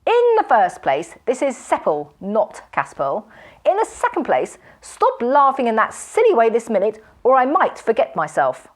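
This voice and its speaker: Woman shouting as a raging pompous magician. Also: on the high side, sing-song, a little slow, full character voice. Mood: scornful. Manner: shouting, ranting, mocking.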